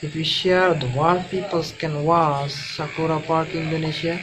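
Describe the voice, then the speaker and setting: A man in his thirties with a Bangladeshi accent, giving an excited reaction commentary in effusive, halting, repeated phrases. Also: fairly high pitch, a crowd in the background, rough recording. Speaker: reaction vlogger.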